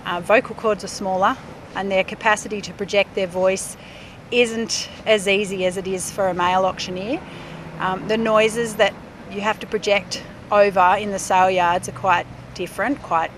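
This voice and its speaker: Measured speech pathologist woman. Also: mid-range voice, a bit bright, slight background noise. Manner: explanatory, measured with fillers. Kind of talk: interview.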